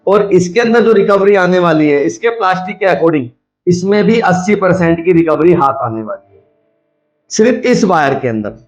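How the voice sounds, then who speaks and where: A man's voice: high voice, animated, moderate pace, lo-fi sound. A man giving a hands-on demonstration, explaining step by step and repeating his points as he instructs. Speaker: video host, scrap recycler.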